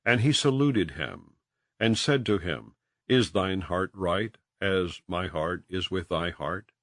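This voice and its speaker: A man's voice: deep voice, lively intonation, slow, a bit bright, no room sound, clean studio sound. A man reading scripture as an audiobook narrator, with a solemn, dramatic storytelling delivery. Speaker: audiobook reader. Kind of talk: scripted scripture narration, King James Bible.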